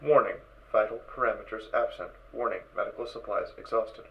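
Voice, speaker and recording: Man voicing a low, mechanical suit computer. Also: a little fast, vocal fry. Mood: warning, insistent. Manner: clipped, formal, mechanical, deadpan. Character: literal-minded male computer voice of a smart spacesuit. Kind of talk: fan audio drama character acting. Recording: dull, distant, noisy sound.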